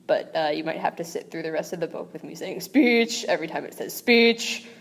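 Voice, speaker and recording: A woman's voice: low voice, flat delivery, fast, gravelly, slightly rough, long reverb tail. A woman reading a novel aloud as an amateur, chatty and casual, with fillers and asides. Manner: chatty, with frequent fillers and rereads. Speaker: amateur audiobook reader.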